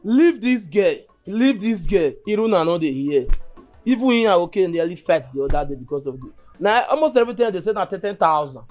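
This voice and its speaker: A man with a Nigerian Pidgin accent playing a gossiping young male friend who talks in an animated way. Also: high voice, fairly quick, faint music behind. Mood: gossipy.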